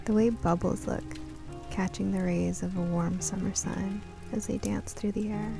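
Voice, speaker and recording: A woman narrating a scripted first-person story in a gentle, expressive way. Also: medium pitch, normal speed, vocal fry, music in the background.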